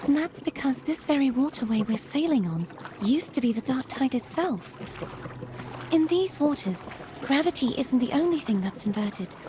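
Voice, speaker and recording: A woman voicing a composed young female companion in a fantasy adventure game, speaking in a measured, serious way. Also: high-pitched, lively intonation, noisy background. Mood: calm, explanatory. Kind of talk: video game voice acting.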